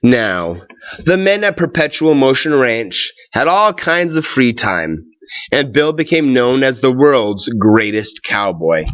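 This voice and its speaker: A man reads a children's story aloud at a slow, deliberate pace. His pitch moves up and down in a lively way as he stretches words and pauses between phrases. The recording sounds a little dull and lo-fi. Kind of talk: read-aloud of a children's tall tale.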